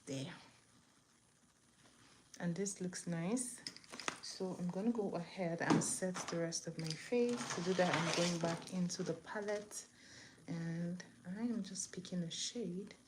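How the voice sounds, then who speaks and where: A woman's voice: fairly low pitch, a little slow. A woman from eastern Nigeria, chatting casually to viewers and giving step-by-step instructions as she hosts a makeup tutorial. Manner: chatty, instructive, talking to viewers while working. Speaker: makeup tutorial host on a livestream. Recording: clear background noise, room ambience.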